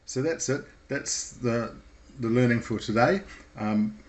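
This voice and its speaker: A man giving an informal instructional talk in a measured, explanatory way with hesitant pauses. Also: medium pitch.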